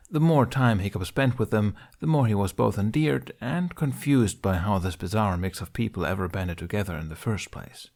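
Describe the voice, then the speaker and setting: A man's voice: low-pitched, a little mellow. A man reading scripted fiction aloud as an amateur audiobook narrator.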